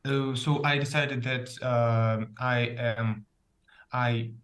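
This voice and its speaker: A man's voice: medium pitch, fairly even intonation, takes it slowly, fairly bright tone. A man from Russia with a Russian accent, a native Russian speaker, talking hesitantly about himself and searching for words. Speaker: club participant, learner. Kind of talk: casual talk about himself.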